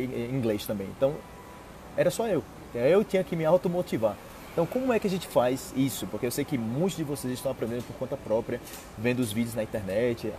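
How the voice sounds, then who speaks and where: A man's voice: mid-range voice, background noise. A young Brazilian man with a Brazilian Portuguese accent, a Portuguese teacher chatting casually to viewers, checking in often with "ok?". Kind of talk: casual live-stream chat. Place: outdoors on a city street with trees. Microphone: earphone mic on a phone held close.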